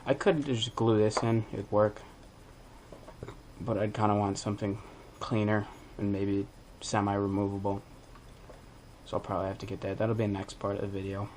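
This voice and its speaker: A man's voice: on the low side, little pitch movement, moderate pace, pauses often, darkish tone, slight background noise. A man hosting a DIY video, talking through a hands-on tutorial in a casual, informal way as he thinks aloud.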